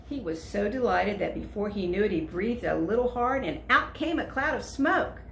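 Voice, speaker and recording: A woman reading a children's story aloud as a storyteller, deliberate and expressive. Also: medium pitch, gravelly, a little hiss or hum. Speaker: volunteer storyteller. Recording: echoey room.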